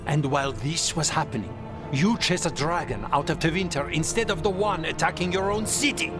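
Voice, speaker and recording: An actor playing a stern, reproachful assassin with a deliberate manner in a dramatic video game. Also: male voice, fairly high pitch, music in the background, natural voice. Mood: accusing.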